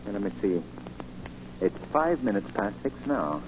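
An actor playing a suave, composed gentleman sleuth, courteous and assured, in 1940s radio style. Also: fairly low pitch, very animated, moderate pace. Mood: intrigued, reassuring. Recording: muffled, hissy 1940 radio recording.

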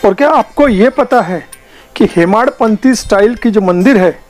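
A man's voice: high-pitched, moderate pace, darkish tone, faint music behind. A man, vlogging and explaining in a chatty way on a lapel mic, mixing English words in. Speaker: travel vlogger. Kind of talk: casual vlog narration.

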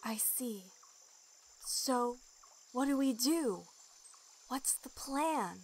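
A woman's voice: high-pitched, animated, extremely slow, heavily acted. A woman voicing a plucky young archer companion with a casual manner in a fantasy audio drama. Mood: curious, hushed. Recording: music behind the voice.

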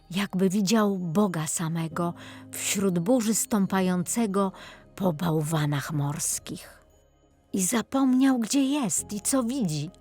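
Slow, expressive woman storyteller. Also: mid-range voice, slightly rough. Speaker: lead audiobook narrator. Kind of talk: scripted children's fairy tale narration.

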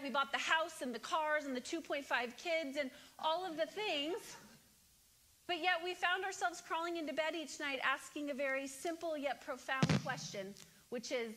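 Animated woman preaching on a big stage. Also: high-pitched. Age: 30s. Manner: animated, conversational preaching with audience questions. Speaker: guest speaker. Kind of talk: conference keynote sermon. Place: large stage with spotlights before a congregation.

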